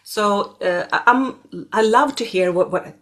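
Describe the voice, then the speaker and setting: A woman's voice: mid-range voice, measured pace. A middle-aged Swedish woman with a Swedish accent, a native Swedish speaker, speaking as an astrologer guest in an interview, hesitant with many fillers and restarts. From Sweden. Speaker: astrologer and regression therapist guest. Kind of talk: conversational interview.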